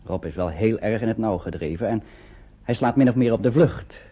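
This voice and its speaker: A man narrating an old radio play as a calm storyteller, with scripted delivery. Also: lowish voice, a little fast, breathy edge. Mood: calm, storytelling. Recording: dull, slightly noisy old radio recording.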